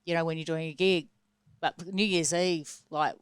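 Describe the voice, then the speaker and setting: A woman's voice: low-pitched, fairly even intonation, moderate pace, clean tone, no room sound, clean studio sound. An Australian woman chatting enthusiastically as a singer and actress guest on a radio talk show. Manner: chatty, enthusiastic, lots of fillers. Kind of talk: casual interview chat. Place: radio studio.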